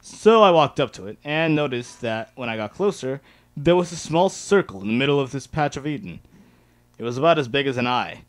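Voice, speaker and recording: A man's voice: mid-range voice, expressive, fairly quick, no echo. A man reading first-person fan fiction aloud as an amateur dramatic narrator.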